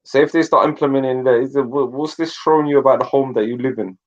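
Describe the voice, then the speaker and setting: A man's voice: medium pitch, steady tone, fast. A man hosting a casual online discussion, pushy and teasing, mixing in Somali and Arabic words. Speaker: host. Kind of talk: casual chat. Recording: dull lo-fi online call audio.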